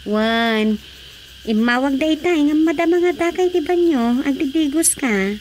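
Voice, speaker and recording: An actress playing an everyday wife at home in a radio drama, with an Ilocano accent. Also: female voice, high voice, expressive, measured pace, darkish tone. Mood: conversational. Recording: faint cricket noise.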